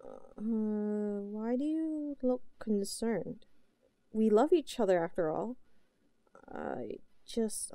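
Casting: actress as sweetly unhinged yandere girlfriend for audio roleplay; mellow, unhurried, cooing, possessive. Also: mid-range voice, dry recording. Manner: cooing, halting, breathy asides. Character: obsessive, possessive yandere young woman, sweetly unhinged lover. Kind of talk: roleplay audio drama monologue.

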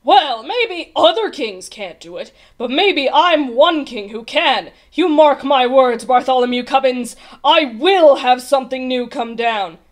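Woman playing a loud, blustering old storybook king. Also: high voice, animated, measured pace, hardly any pauses, voice pushed up. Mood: defiant rage. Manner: bellowing, commanding, blustering. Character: grumpy, imperious old king, blustering and hot-tempered. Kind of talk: storybook character voice.

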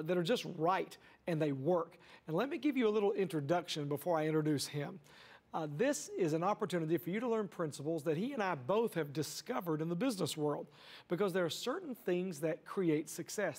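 Man, TV host, high voice, enthusiastic, emphatic, studio lapel mic. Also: a little mellow. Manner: enthusiastic, emphatic, uses "uh" fillers. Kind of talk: upbeat TV hosting. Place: TV studio set.

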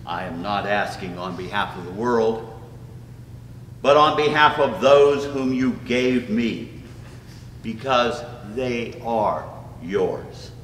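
A man in his seventies reading scripture solemnly, pausing between short phrases. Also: on the low side, fairly bright tone. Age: 70s. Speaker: scripture reader. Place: small church room, standing at a lectern. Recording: echoey hall with clear background noise.

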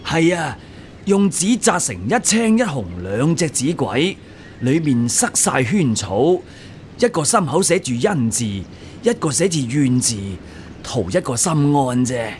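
Animated, fawning guard. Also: male voice, on the high side, a little slow, slight background noise. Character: officious, fawning exam-hall guard. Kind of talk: Cantonese radio drama acting.

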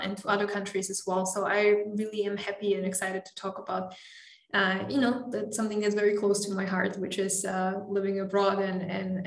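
A woman's voice: mid-range voice, gravelly. Slovak woman guest in her thirties, hesitant and chatty, roomy video-call sound. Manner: hesitant, many restarts and fillers. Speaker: interview guest. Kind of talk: casual interview chat. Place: home room, indoors. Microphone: earphone headset on video call.

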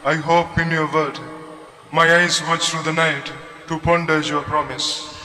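A man leads prayer responses with hardly any rise or fall in his voice. His tone is bright and somewhat higher than most men's. The long reverb of a large church follows each phrase. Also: unhurried. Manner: flat, even recitation. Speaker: prayer leader. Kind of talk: liturgical responses.

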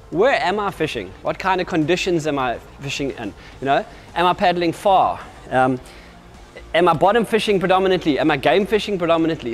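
A man in his forties talks straight to the camera about kayaks, his voice sitting a little high for a man. He speaks with lively energy, gesturing as he goes and often restarting his sentences. Soft music plays faintly underneath him in an indoor showroom. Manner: energetic, gestures constantly while talking, many restarts. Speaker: kayak company representative. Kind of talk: explanatory product talk to camera. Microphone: camera at medium distance.

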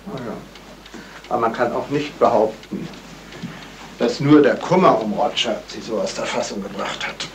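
An actor playing a thoughtful, suspicious amateur sleuth in a deliberate 1970s German radio crime drama style. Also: male voice, mid-range voice, lightly acted, poor audio. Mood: insistent. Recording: hissy old radio play recording.

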